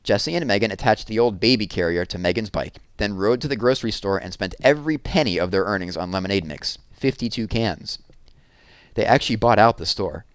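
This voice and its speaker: A man's voice: lowish voice, a little fast, clear, resonant tone, dry recording. A man reading a children's book aloud as a storyteller.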